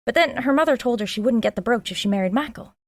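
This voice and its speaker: A woman's voice: a little high, lively intonation, quick pace, dry recording. An actress playing an eager, chatty sidekick to a woman sleuth, earnest and inquisitive, in an audio drama. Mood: earnest, confiding. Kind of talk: audio drama acting, dialogue.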